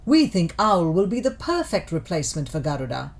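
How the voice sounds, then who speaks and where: Woman voicing discontented forest birds, swooping pitch. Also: big pitch swings, a little fast. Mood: excited. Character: discontented forest birds clamouring for a new king.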